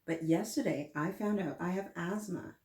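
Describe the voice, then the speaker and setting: A woman's voice: on the low side, normal speed, mellow, close to an everyday voice. Young boy hero of a picture book, voiced by a woman, sincere, unsure, plain-spoken; echoing room recording. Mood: earnest, unsure. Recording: roomy, echoing room sound.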